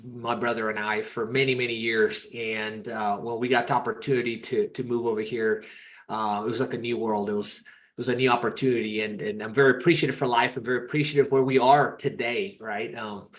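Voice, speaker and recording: A man from Peru, in his thirties, chatting as a podcast guest in an upbeat, rambling way with many restarts. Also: mid-range voice. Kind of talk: casual interview chat.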